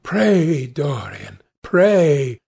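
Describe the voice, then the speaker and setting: A man's voice: on the high side, expressive, very slow, gravelly, a little mellow, husky, exaggerated voice. A man voicing an anguished, horrified painter of devout, moralising temper in an agitated, imploring, theatrical audiobook style. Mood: anguished pleading. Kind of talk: dramatic audiobook character voice.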